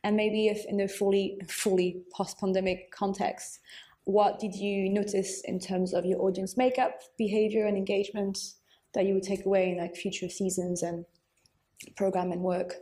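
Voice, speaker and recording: A woman's voice: medium pitch, flat delivery, moderate pace, mellow. A woman moderating a panel, putting questions to the speakers informally.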